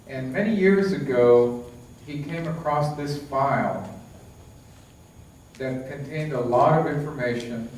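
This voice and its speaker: A man's voice: mid-range voice, a little mellow. A man giving a conference lecture in a conversational way, with many fillers and restarts. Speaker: lecturer, book author. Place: lecture hall. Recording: echoey hall, distant, faint hiss.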